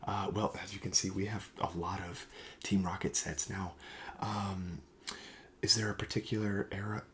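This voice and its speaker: A man playing a friendly, reassuring card shop clerk in a soft-spoken ASMR roleplay, breathy and often near a whisper, with casual, hesitant sales patter. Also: deep voice, flat delivery, moderate pace, slight background noise. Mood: agreeable, casual.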